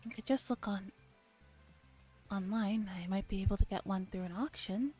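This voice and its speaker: A woman streamer reading a visual novel aloud in a soft, gentle ASMR narration style. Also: mid-range voice, normal speed, husky. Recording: background music under voice. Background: soft background music.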